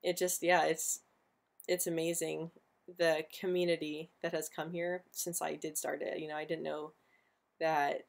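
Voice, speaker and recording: Young woman host, low-pitched, stutters on sounds, relaxed live chat. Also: a little slow, gravelly. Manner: stutters often, repeating sounds and words. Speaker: livestream host answering viewer questions. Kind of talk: casual live stream chat.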